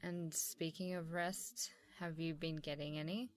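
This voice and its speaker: A woman playing a warm, supportive, easygoing boss in a casual, encouraging ASMR role-play. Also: low voice, fairly even intonation, measured pace. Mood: reassuring, encouraging. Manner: encouraging, casual, chuckling hesitantly between phrases. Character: supportive, warm, easygoing female boss giving a praising review. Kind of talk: ASMR role-play, softly conversational one-sided dialogue.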